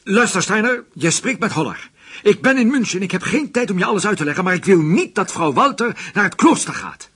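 A methodical chief of detectives in a radio drama. His husky voice moves widely up and down in pitch. He is authoritative and insistent, used to giving orders. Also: lively intonation, a little fast, a bit bright, heavily acted. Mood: urgent, commanding.

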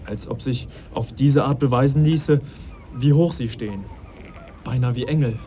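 An actor playing a thoughtful young gentleman naturalist in a German radio play, courteous and measured with a quiet irony. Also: medium pitch, natural voice. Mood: measured, ironic. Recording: dull, noisy 1980s radio recording.

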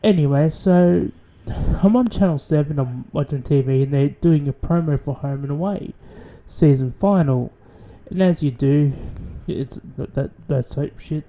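Man, Australian accent, radio host, rambling monologue, swears, many restarts, very dark mellow voice. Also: on the high side, a little slow, a little hiss or hum.

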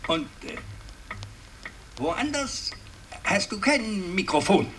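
Slow, high-pitched pompous old patriarch. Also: male voice, very animated, a bit bright, heavily acted. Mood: anxious, doubting. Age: older. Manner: halting, ceremonious, long-winded. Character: pompous, fussy old aristocratic family patriarch. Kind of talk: radio drama acting. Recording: distant, noisy 1960s radio recording.

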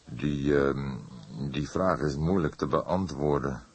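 A man reading the part of an old police detective in an audiobook, patient and hesitant, with measured, probing questions. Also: rumbling low, slightly rough, heavily acted.

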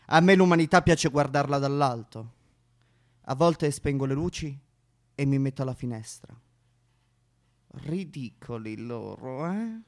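A male actor playing a bitter, self-loathing misanthropic man in a histrionic theatrical comic monologue. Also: on the high side. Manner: histrionic, swinging from muttering to outbursts with spitting noises. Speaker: stage monologue performer.

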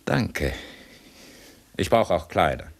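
Husky, deep-voiced sardonic convict. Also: male voice, very animated, full character voice. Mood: cool, scheming. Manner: suave, sardonic, unhurried. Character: escaped male criminal, cool, sly, mockingly courteous schemer. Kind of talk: 1960s German radio crime drama acting.

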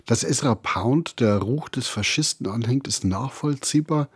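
A man presenting in a measured, scripted radio commentary style. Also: mid-range voice, slightly rough, no room sound.

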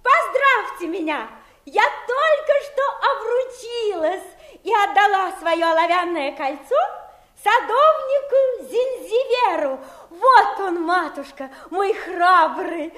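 An actress playing a naive, tender young princess in love, in a fairy-tale radio play, with an emotional, earnest manner. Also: female voice, very high voice, big pitch swings, slow pace, fairly bright tone, heavily acted. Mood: happy, proud.

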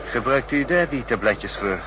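An actor in a 1960s Dutch radio play, playing a polite, chatty train passenger with a probing manner. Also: male voice, medium pitch, expressive, moderate pace, natural voice. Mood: polite, curious. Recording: noisy old radio train scene.

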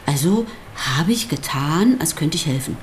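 An actress playing a sardonic, defiant pastor's wife in a radio drama, speaking with provocative, theatrical emphasis. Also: female voice, deep voice, animated, normal speed, slight background noise. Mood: wry, narrating. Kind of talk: German radio drama acting.

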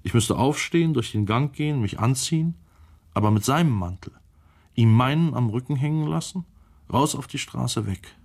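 A man's voice: medium pitch, lively intonation, normal speed, a little mellow. An actor playing a brooding, calculating man who muses to himself in terse, clipped phrases in a literary radio drama.

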